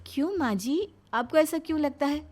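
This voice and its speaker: A woman's voice: sits high, normal speed, a little mellow, soft background music, voice pushed up, close to an everyday voice. A woman voicing a dutiful, shrewd elder sister-in-law in a family story, earnest and emphatic. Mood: confiding, suspicious.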